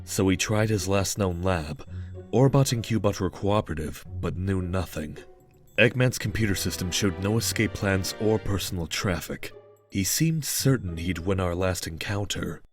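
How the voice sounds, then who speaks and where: A man's voice: low-pitched, normal speed, soft background music, natural voice. A man voicing a serious young male chameleon detective who talks in a clipped, formal, dry way. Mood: stern.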